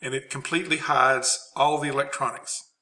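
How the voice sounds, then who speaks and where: A man's voice: mid-range voice, steady tone, moderate pace, sharp tone. A man giving an unscripted instructional presentation as a trainer, explaining things plainly.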